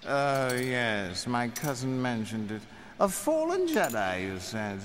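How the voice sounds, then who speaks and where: An actor playing a cruel, haughty young Sith lord in an audio drama, with a mocking, theatrical, drawn-out delivery. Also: male voice, medium pitch, big pitch swings. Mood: coolly menacing. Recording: long hall reverb, noisy audio drama.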